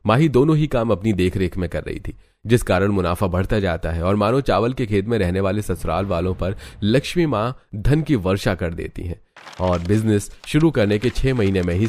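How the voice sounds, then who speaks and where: A man's voice: on the low side, darkish tone, a little hiss or hum. A man narrating a scripted cartoon story.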